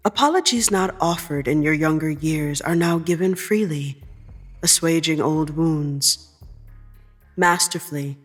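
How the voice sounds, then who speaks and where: A woman narrating scripted second-person fiction in a measured, deliberate storytelling manner. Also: on the low side.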